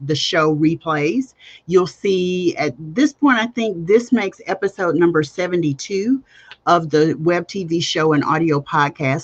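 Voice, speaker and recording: A woman's voice: deep voice, a bit bright. Emphatic woman podcast host, dull audio. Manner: emphatic, repeats key phrases, direct questions to listeners. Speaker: podcast host and business coach. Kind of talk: livestreamed coaching talk.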